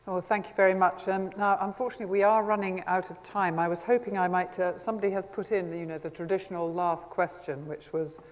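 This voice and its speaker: A woman chairing a panel discussion at a conference in a brisk, upbeat way. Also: on the low side, fairly even intonation. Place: large conference hall. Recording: echoey conference hall.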